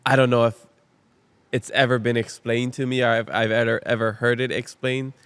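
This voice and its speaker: A man's voice: medium pitch, little pitch movement, moderate pace, a bit bright, smooth. A man from Hawaii hosting a podcast, talking casually and earnestly, thinking aloud with many restarts. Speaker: podcast host. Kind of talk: casual conversation.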